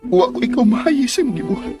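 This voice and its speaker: A man's voice: very high-pitched, animated, quick pace. A man with a Filipino Cebuano accent acting an ardent, insistent lover in a melodramatic, pleading radio romance drama. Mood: wounded devotion. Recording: music under the dialogue.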